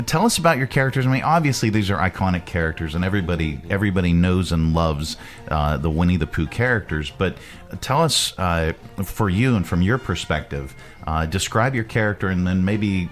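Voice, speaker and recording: A low-pitched man hosts a relaxed interview chat. He is easygoing and quick to laugh, with faint music playing underneath. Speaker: radio show host.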